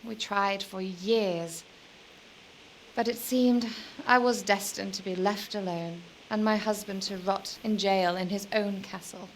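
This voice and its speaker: An actress playing a weary, lonely young medieval noblewoman in a video game, speaking in a measured, formal and wistful way. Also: mid-range voice, steady tone, slight background noise, lightly acted, rough recording. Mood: sorrowful. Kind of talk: dramatic video game dialogue.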